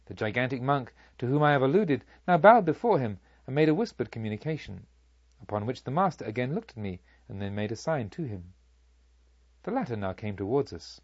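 A man narrating a literary story as an audiobook, in a formal, deliberate storytelling manner. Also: mid-range voice, sing-song, a little mellow, no echo, muffled recording. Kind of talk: expressive scripted literary narration.

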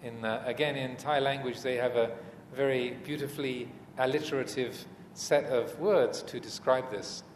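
A man giving an unscripted Buddhist dharma talk as a teacher, speaking in a measured, reflective way with frequent pauses between short phrases. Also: medium pitch, steady tone. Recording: echoey reverberant hall with background noise.

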